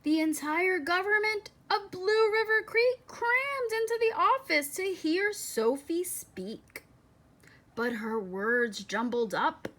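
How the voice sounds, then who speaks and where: A woman reading a children's picture book aloud in a deliberate, expressive storytelling manner. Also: sits high. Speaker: storybook reader.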